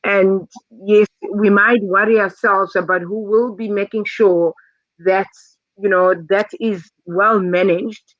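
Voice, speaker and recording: A woman's voice: medium pitch, steady tone, takes it slowly, no echo. A woman speaking at an online youth summit, hesitant and full of fillers and repeats, over a video call. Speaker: summit participant. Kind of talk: casual online discussion. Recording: dull dry video-call audio.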